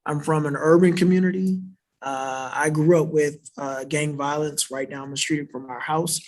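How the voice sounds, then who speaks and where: A man's voice: fairly high pitch, steady tone, normal speed, a little mellow. A man from South Florida on a church panel, answering an audience question in an earnest, explanatory way and often adding a questioning "right?" to his points. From South Florida, USA. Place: church worship center.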